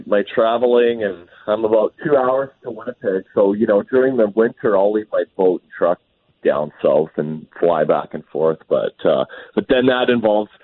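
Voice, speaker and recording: A Canadian man in his thirties, hoarse and scratchy from a cold, talking casually and rambling a bit as a guest. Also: lowish voice. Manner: rambling, easygoing, restarts often. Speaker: professional bass angler, guest. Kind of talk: casual phone interview. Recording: tinny phone line.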